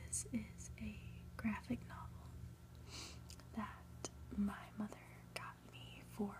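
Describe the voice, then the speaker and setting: Slow, whispering woman reading aloud. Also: medium pitch, level tone. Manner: gentle, unhurried, soft-spoken. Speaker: ASMR host. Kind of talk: whispered ASMR book reading with casual asides.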